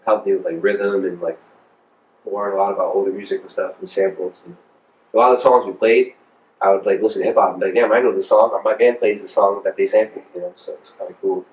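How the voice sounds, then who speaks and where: A man's voice: sits low, fairly even intonation, fast talker, darkish tone, far from the mic. An American man in his thirties chatting casually in an interview as a music producer, informal, slangy and rambling. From Rhode Island, United States. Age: 30s. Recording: roomy, echoing, off the mic.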